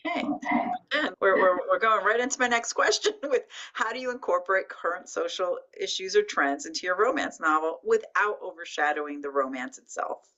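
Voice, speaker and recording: A woman's voice: medium pitch, animated, fairly quick, pushed and bright. A middle-aged woman hosting an author interview over a video call, hesitant with many ums and laughs. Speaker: library assistant director and host. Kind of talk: casual interview. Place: library room with bookshelves. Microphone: video call webcam. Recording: dull lo-fi video call audio.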